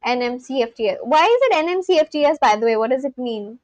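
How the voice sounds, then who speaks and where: A woman with an Indian accent hosts a live stream and chats with her viewers in a loose, friendly way, laughing often between remarks. Her voice sits high and bright. The sound is dry and close, with no room ring. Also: lively intonation, fast talker, no room sound. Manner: chatty, laughs often, talks straight to chat. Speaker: livestream host.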